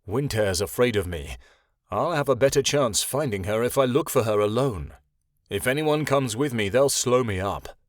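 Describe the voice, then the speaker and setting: A man's voice: medium pitch, expressive, moderate pace, dry recording, studio quality, lightly acted. A man voicing a worried male dragon with a determined manner.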